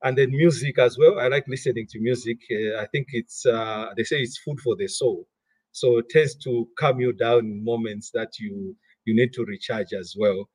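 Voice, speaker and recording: Malawian man, middle-aged interview guest, husky and dry-miked, halting and repetitive delivery. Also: medium pitch, darkish tone, no echo. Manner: repeats words often while thinking. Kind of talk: interview conversation.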